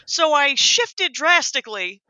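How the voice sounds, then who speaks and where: A woman guest on a casual podcast, rambling and self-mocking with halting restarts. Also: high voice, very animated, sharp tone, no room sound, poor audio. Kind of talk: casual chat.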